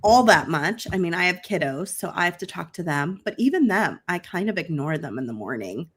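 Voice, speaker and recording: A woman's voice: lively intonation, slightly rough. A woman co-hosting a craft livestream from home, teasing her co-host with incredulous questions before running through a store deal. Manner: teasing, incredulous questions, then brisk sales pitch. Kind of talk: casual chat and shop promotion.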